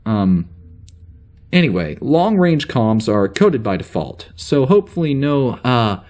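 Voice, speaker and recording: A flustered spaceship security officer, acted by a man, dictates his log in a middling male pitch. He rambles and hesitates, unsure of himself, in the natural style of a sci-fi audio drama. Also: very animated, a little mellow. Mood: exasperated. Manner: halting, rambling, full of hesitations and sighs. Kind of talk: audio drama, informal spoken log entry.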